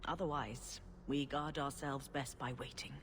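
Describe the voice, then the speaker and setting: An actress playing an elderly female grand cleric in a game, speaking in a measured, formal, gently authoritative way. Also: rumbling low, fairly even intonation. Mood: calm, measured. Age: older. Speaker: senior cleric. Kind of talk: dramatic game dialogue. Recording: clearly noisy ambience behind voice.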